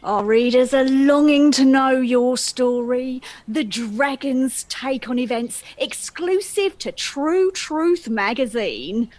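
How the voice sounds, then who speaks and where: A woman's voice: high-pitched, takes it slowly, few pauses, a little mellow, dry recording. A woman playing an eager, persistent tabloid reporter in a comic play, theatrical and coaxing. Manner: theatrical, coaxing, headline-declaiming.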